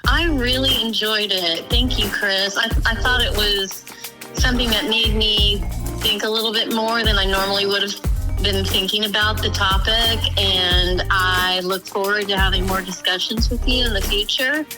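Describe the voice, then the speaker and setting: A woman speaking as a podcast guest in casual conversation, with a polite and measured manner. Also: medium pitch, steady tone, over music, rough recording. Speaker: podcast guest and casting director.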